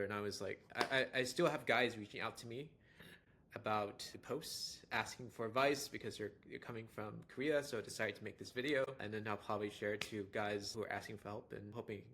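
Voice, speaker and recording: A man's voice: fairly low pitch, a bit bright, slight background noise. A young adult man with a General American accent, talking casually to camera and rambling through fillers and restarts. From United States. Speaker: dating vlogger. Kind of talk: casual talking-head monologue.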